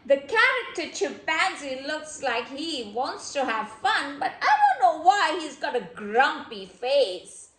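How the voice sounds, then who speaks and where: A woman hosting a children's storybook read-aloud, expressive and playful, with coaxing questions. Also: high voice, unhurried, few pauses. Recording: echoey room.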